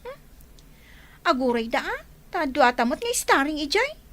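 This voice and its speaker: An actress with a Filipino accent playing a vain, fussy young daughter in a radio drama. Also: female voice, high voice, very animated, unhurried, a bit bright, full character voice. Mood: fussy, self-important. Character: vain, fussy young daughter stalling over her hair.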